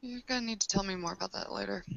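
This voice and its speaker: A woman's voice: mid-range voice, very fast, vocal fry, fairly bright tone. A woman playing in a tabletop roleplaying session, talking casually and a little hesitantly in character. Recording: dull lo-fi recording.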